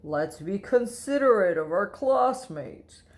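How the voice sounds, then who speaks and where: A woman voicing a kindly but firm male schoolteacher in a children's storybook, speaking in a measured way. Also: mid-range voice, expressive, darkish tone. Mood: gently firm. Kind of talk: children's storybook read-aloud.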